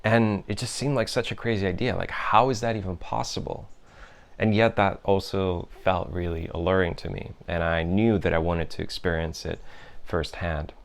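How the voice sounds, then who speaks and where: Young guy, low smooth voice, musing about his life slowly, lots of ums and restarts. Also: fairly even intonation. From Europe, raised in several countries. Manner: thoughtful, unhurried, many fillers and restarts. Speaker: host. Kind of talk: reflective personal monologue.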